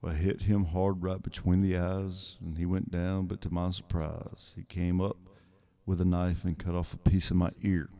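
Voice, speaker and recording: Man, soft-spoken ASMR reading, soothing, slow and calm, very deep, gravelly. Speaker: ASMR creator.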